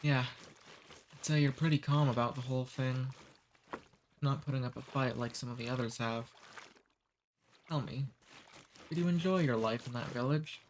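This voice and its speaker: A man's voice: mid-range voice, moderate pace, long pauses, slight background noise, natural voice. A man voicing a cordial old demon escort. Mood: calm. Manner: calm, friendly, gently teasing. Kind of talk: ASMR audio roleplay.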